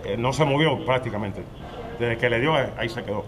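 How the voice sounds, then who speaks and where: A man's voice: mid-range voice, fast talker, crowd noise. An older man, a witness telling what he saw in a hesitant street interview outdoors. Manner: plain, hesitant retelling with fillers. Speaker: eyewitness. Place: outdoor covered sidewalk by a street. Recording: street noise behind.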